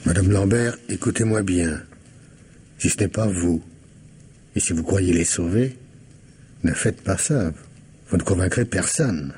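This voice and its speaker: A man playing a stern police commissioner in a French radio mystery, formal and deliberate as he questions and warns. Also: low-pitched, a little mellow, a little hiss or hum. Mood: urgent, exasperated.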